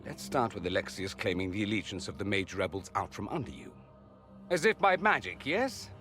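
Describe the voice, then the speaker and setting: Guy playing a smooth witty mage in a game, flamboyant and sure of himself, really lively pitch, music under it. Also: brisk, lightly acted. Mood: arch, explaining. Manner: flamboyant, arch, theatrical. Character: suave, witty, self-assured male mage from a foreign empire. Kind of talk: video game dialogue acting.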